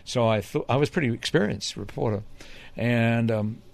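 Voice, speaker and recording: A man's voice: mid-range voice, normal speed, gravelly. An older man from England, a former TV reporter telling long stories as an interview guest, with many fillers and restarts. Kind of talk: relaxed storytelling in an interview.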